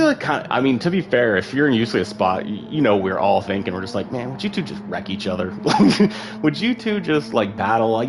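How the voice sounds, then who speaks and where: A man's voice: lowish voice, background noise. A man giving casual, rambling live commentary on an online sim race, full of fillers and asides.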